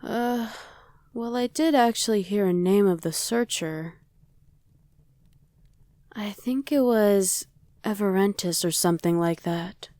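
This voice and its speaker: A woman's voice: medium pitch, takes it slowly, long pauses, mellow, dry recording, natural voice. A woman playing a casual young female survivor of a demon invasion in an ASMR roleplay. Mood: casual, explaining. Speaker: voice actor.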